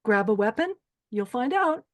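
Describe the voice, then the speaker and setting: A woman's voice: on the high side, very animated, normal speed, fairly bright tone. A woman playing a cold, commanding older pageant organizer with a menacing, clipped manner in a screenplay table read. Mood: coolly menacing.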